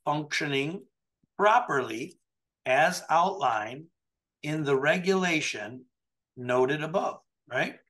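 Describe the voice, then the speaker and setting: A man in his forties talks a client through a document over a webcam call, pressing each point and tagging it with "right?". His voice sits a little high for a man and rings bright and forceful. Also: animated, takes it slowly. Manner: emphatic, keeps checking in with "right?". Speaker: trucking compliance coach. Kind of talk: coaching review over a video call. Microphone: webcam video call.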